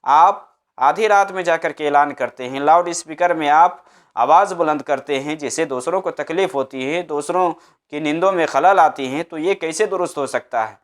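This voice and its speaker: A man's voice: fairly high pitch, normal speed. A man giving religious advice as a preacher, didactic and emphatic, repeating his points in short phrases. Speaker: Islamic preacher answering a religious question.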